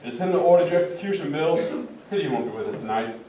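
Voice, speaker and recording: An actor playing a sly, menacing pirate who taunts and mocks. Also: male voice, on the high side, lively intonation, very fast, dark tone, slight background noise, close to an everyday voice. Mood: taunting. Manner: taunting, mocking. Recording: echoey room, far mic.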